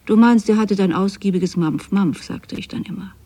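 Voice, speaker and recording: A woman's voice: mid-range voice, moderate pace, warm tone, lightly acted. An actress playing a lonely, possessive widowed mother in a radio drama, speaking a letter to her absent son in a tender, plaintive way. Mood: tender, wistful. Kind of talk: intimate radio drama monologue, spoken as a letter.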